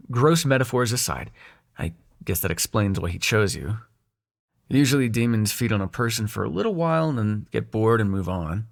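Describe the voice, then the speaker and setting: A man acts out a young male dream god in an intimate audio roleplay. His pitch moves in wide, animated swings as he talks straight to the listener, sarcastic and sweary, with a protective, tender streak and a halting conversational delivery. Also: creaky, full character voice.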